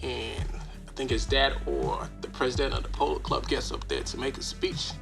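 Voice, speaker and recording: A man from Ghana speaks with a gravelly edge, telling relaxed stories as a podcast guest. Music plays clearly behind him. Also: medium pitch, normal speed. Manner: laid-back storyteller, laughs often. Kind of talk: casual interview chat.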